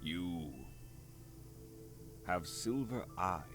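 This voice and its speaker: A man voicing a composed, probing older headmaster in a cartoon fan dub, measured and wry. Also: low-pitched, lively intonation, a bit bright, heavily acted. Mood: calm, probing. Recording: fan dub playback under clear music. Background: background music.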